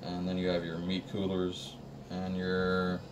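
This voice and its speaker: Very low-voiced man, distant in a noisy room. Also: completely flat delivery, unhurried. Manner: plain, practical, explaining step by step. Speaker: installer explaining a system to staff. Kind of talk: informal hands-on equipment walkthrough.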